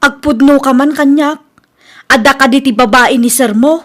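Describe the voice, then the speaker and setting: A woman's voice: high-pitched, normal speed, a bit bright. A woman with a Filipino accent playing a suspicious, neglected wife in a radio comedy drama. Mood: suspicious, commanding.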